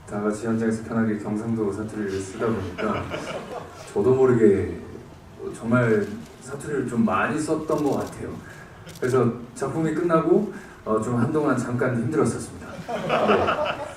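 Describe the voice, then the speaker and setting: A man from Busan, a film actor fielding audience questions with easy laughs and halting pauses. He is heard from a distance across a large, echoey cinema hall. A steady noise sits under his voice. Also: mid-range voice. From Busan, South Korea. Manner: relaxed, laughs often, hesitant pauses. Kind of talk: casual answers to audience questions.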